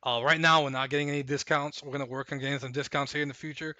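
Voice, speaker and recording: A man hosting a live stream, chatting casually and recapping fights in a rambling, hyped way while his voice goes hoarse. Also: on the high side, level tone, very fast, pushed and bright, dry recording. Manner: rambling, hyped, repeats himself. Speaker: stream host.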